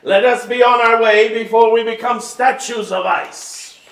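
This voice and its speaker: A man plays a regal king who is fond, wry and commanding. He speaks slowly and formally in a fairly high voice. It is a staged musical reading, heard from afar in an echoey space. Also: steady tone. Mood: wry, brisk. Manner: stately, measured, formal wording. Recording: echoey stage hall, far microphone.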